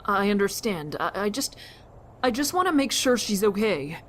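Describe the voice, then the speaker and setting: A woman's voice: medium pitch, sing-song, moderate pace, close to an everyday voice. Kind, polite boy survivor for zombie radio play, voiced by a woman, mid-range for her, slight background noise. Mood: gentle, modest. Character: gentle, considerate, soft-hearted teenage boy survivor. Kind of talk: amateur audio drama acting.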